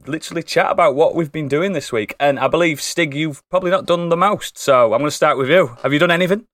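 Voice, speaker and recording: A man's voice: on the high side, quick pace, a bit bright, dry recording, clean studio sound. A man hosting a podcast, introducing guests with jokey, theatrical flair. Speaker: podcast host. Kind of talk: casual chat.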